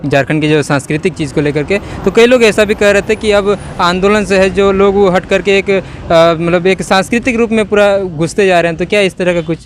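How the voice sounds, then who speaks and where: A man working as a news interviewer, putting long questions in a halting way. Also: high-pitched, a little hiss or hum.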